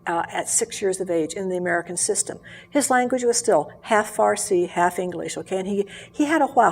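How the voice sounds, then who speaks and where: Older woman, husky timbre, earnest reflective interview guest. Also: mid-range voice, a little mellow. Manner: earnest, measured, with frequent fillers and restarts. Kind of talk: reflective personal storytelling. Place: local TV studio.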